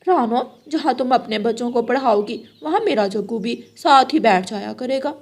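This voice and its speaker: A woman's voice: on the high side, lively intonation, moderate pace. A woman voicing an exasperated mother crow in a children's moral cartoon, complaining earnestly. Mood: earnest request.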